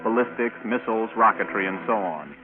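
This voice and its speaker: Actor as a low-voiced, plainspoken ex-pilot, tinny radio. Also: male voice, a little fast, lightly acted. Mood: matter-of-fact. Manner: plainspoken, conversational first-person storytelling. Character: matter-of-fact ex-rocket pilot turned computer operator, narrating. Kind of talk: 1950s radio drama acting. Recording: tinny vintage radio recording with music.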